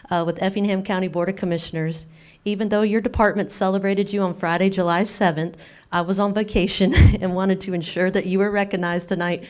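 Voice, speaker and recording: A woman's voice: lowish voice, a little mellow, smooth. A woman from human resources reading a prepared appreciation speech at a podium microphone in a county meeting hall.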